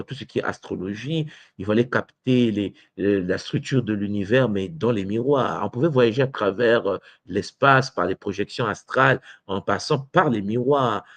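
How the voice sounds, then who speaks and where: A man's voice: lowish voice, dry recording. A man from Congo, lecturing an online audience and addressing listeners directly, with frequent hesitations and tag words. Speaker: teacher of traditional spirituality.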